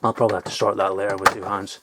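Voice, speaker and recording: A man's voice: on the low side, level tone, racing, heavy background noise. A young man giving a casual, sweary, enthusiastic show-and-tell in an informal vlogging style. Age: young adult. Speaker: vlogger showing old toys. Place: loft at home, indoors.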